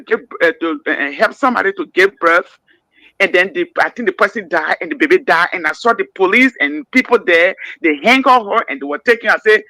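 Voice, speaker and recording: A woman's voice: medium pitch, vocal fry, sharp tone, dry recording, low-quality recording. A woman with a Liberian accent calling in to a radio talk show, speaking emphatically and often stammering and repeating words. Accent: Liberian English. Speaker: caller.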